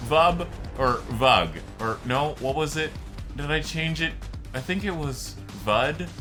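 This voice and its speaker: A man's voice: fairly high pitch, slow pace, pushed and bright, soft background music. A man giving casual, rambling, explanatory commentary on a game during a live stream, as the streamer.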